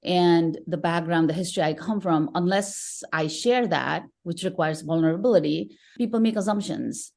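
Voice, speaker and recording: A woman's voice: lowish voice, normal speed, gravelly, few pauses, darkish tone. A woman in her forties from Nepal, speaking earnestly and thoughtfully as an interview guest, often restarting phrases. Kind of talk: conversational interview.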